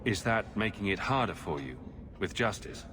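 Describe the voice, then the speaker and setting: Man as a low-voiced, sardonic adventurer. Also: animated, moderate pace, noisy background, close to an everyday voice. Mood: concerned. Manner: dry, wry. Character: sardonic heroic adventurer, dry-witted young man. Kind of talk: video game voice acting.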